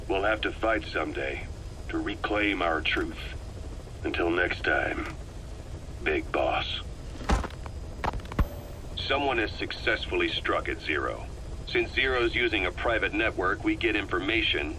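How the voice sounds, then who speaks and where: An actor playing a shrewd, grave male spy in a video game, giving a recorded briefing in a measured, ominous, deliberate way. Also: sits low, bright, forceful tone. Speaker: intelligence informant. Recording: noisy audio cassette tape playback.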